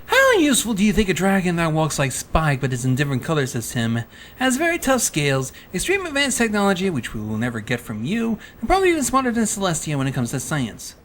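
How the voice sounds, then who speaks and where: A performer voicing an earnest young male dragon, helpful and a little exasperated, in an animated fan drama. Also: mid-range voice, fast, slight background noise. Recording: music behind the voice. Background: background music.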